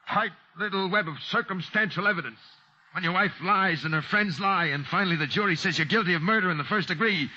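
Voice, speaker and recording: A man's voice: fairly high pitch, sharp tone. An American actor in an old-time radio drama playing a bitter, desperate, hard-boiled fugitive man in his thirties who was wrongly convicted. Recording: muffled, noisy old radio recording.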